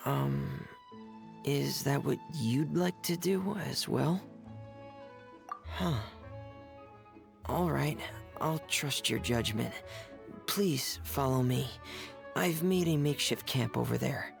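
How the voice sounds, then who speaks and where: An actor playing a reserved, considerate young male toymaker in a video game cutscene, soft-spoken, hesitant and polite. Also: mid-range voice, slow, creaky, pauses often, soft background music. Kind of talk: English game cutscene voice acting.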